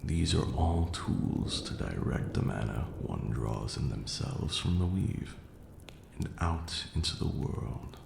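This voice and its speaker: A man playing a pompous but patient vampire lord who lectures on magic in a deliberate ASMR roleplay style. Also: animated, exaggerated voice. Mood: patient lecturing. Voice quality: low, gravelly. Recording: roomy echo, audible background hiss.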